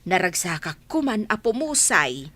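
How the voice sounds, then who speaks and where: A woman's voice: on the low side, sing-song, moderate pace, sharp tone, no room sound, full character voice. A woman playing an elderly village mother who coaxes insistently, in an amateur radio drama. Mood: pleading. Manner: coaxing, insistent.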